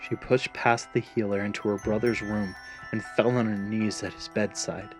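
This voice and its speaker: A man's voice: lowish voice, fairly even intonation, normal speed, over music. A man narrating a scripted fantasy story in a dramatic storytelling style.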